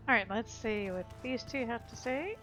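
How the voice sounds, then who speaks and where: A woman's voice: fairly high pitch, moderate pace, bright, forceful tone. Woman casually commentating over game music. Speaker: gamer narrating a playthrough.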